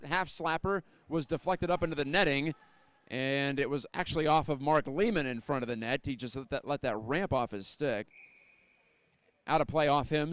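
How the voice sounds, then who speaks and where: Guy doing hockey play by play, smooth clean voice on the higher side, describes every pass. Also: fairly bright tone, no echo. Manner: measured, descriptive, lifting on scoring chances. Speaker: play-by-play announcer. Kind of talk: live ice hockey radio-style commentary.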